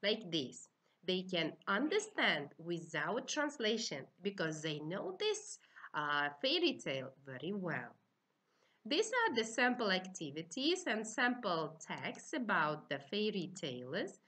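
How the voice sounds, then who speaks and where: A middle-aged woman with an Uzbek accent, lecturing to trainee teachers in simple, halting, instructive phrases. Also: lowish voice, very animated, sharp tone. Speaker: university teaching-methods lecturer.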